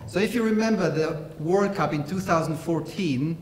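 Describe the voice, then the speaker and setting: A middle-aged man with an Austrian accent giving a workshop lecture, measured and pausing often with fillers. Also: fairly high pitch, darkish tone, a little hiss or hum, low-quality recording.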